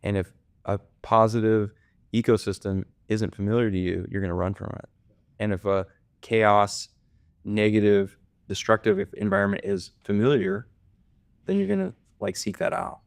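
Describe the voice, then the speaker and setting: Low-voiced host, casual and rambling. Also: male voice, moderate pace, no echo, clean studio sound. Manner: casual, loose phrasing with many fillers. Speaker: podcast co-host. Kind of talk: podcast interview.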